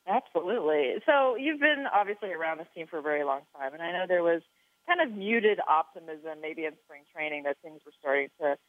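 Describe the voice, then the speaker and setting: A woman's voice: sits low, dry recording. A woman hosting a sports interview, asking questions in a conversational way. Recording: dry, narrow phone-like sound.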